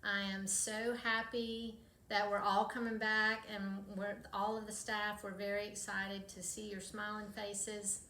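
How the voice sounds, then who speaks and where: A woman's voice: mid-range voice, level tone, a little slow, hardly any pauses, sharp tone. An American woman, a middle school art teacher, giving a short welcome address to students. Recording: roomy echo, faint ambient noise.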